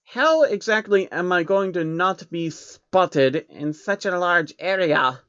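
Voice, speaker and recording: Guy doing a nervous cheeky changeling monster for a story, whiny, kinda high, dull mic. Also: animated, slow, a bit bright, clear, resonant tone, no echo, exaggerated voice. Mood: confused, indignant. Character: cheeky, nervous male changeling creature from another world.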